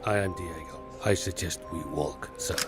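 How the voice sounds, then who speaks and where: Brief: a man as a menacing radio-drama heavy, formal and clipped, lowish voice. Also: moderate pace, loud music behind the voice, close to an everyday voice. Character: cold, cruel male thug, formal and menacing. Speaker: villain's henchman. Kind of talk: amateur radio drama acting.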